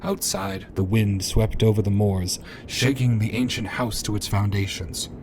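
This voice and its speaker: A man's voice: fairly low pitch, unhurried, background noise. A man announcing and narrating a radio drama in a formal, theatrical scripted style.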